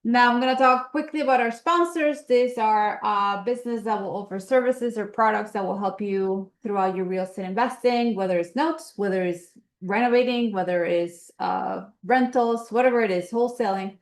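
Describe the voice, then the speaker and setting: A woman with a Colombian accent runs through announcements for her members, chatty and upbeat and full of little ums and uhs. Her voice sits at an ordinary middle pitch for a woman. The sound has a roomy echo around it. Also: moderate pace, hardly any pauses. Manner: casual, filler-heavy, upbeat promoting. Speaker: investor association host. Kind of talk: announcements at an online meeting.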